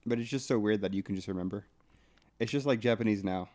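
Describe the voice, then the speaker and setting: A man's voice: on the low side, quick pace, a little mellow. A young man co-hosting a casual podcast chat, easygoing and laid-back, often trailing off mid-sentence. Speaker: podcast co-host.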